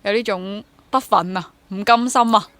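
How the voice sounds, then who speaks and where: A woman's voice: mid-range voice, moderate pace, fairly bright tone, dry recording. Young Hong Kong woman talking candidly. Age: 20s. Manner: candid, self-questioning, mixes English words into sentences. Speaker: interview guest, freelance theatre actor and drama teacher. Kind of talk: casual, reflective interview conversation.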